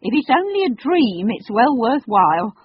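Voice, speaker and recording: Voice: medium pitch, big pitch swings, normal speed, husky, no echo, pitched above the performer's own voice. A narrator voicing a bossy, cheerful working-class cook who wants to be queen, in a storybook reading. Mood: triumphant. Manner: cheerful, firm, common speech. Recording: dull, dry recording.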